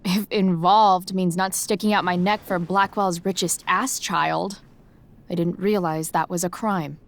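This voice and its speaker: A woman's voice: fairly low pitch, fairly even intonation, measured pace. An actress playing a sarcastic, defiant teenage schoolgirl with a blunt, casual delivery in a video game drama.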